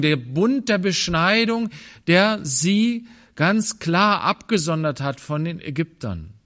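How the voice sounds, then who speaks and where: German guy preaching, fairly high voice, thoughtful, lots of pauses and restarts. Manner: thoughtful, pauses and restarts, drops to quiet low asides. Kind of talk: sermon.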